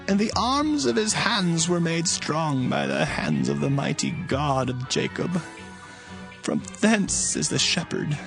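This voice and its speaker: Aged biblical patriarch, gravelly and solemn, swooping declamation for audio scripture drama, music underneath. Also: male voice, sits high, a bit bright, full character voice, rough recording. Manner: slow, declamatory. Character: aged dying biblical patriarch, solemnly blessing his sons.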